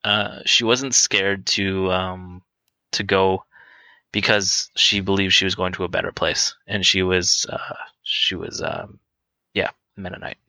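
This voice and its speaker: A man in his late twenties talking candidly and casually as a guest, hesitant and halting, feeling his way through his answers. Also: low-pitched, flat delivery, bright, forceful tone, no room sound. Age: twenty-nine. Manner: hesitant, halting, self-conscious. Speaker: interview guest on a podcast.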